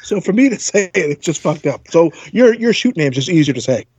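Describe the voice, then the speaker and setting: A man bantering bluntly and profanely, repeating himself, with a husky voice and a speech impediment. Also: fairly high pitch, big pitch swings, brisk, darkish tone, dry recording. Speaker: co-host. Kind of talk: casual banter. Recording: dry, slightly dull lo-fi sound.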